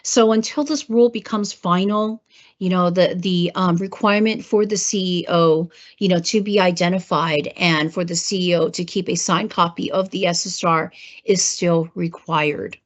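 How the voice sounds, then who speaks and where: A woman with a hoarse voice from a cold, presenting a government training webinar, clearing her throat and using many fillers. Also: lowish voice, steady tone, moderate pace. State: hoarse, getting over a cold. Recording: muffled lo-fi webinar audio.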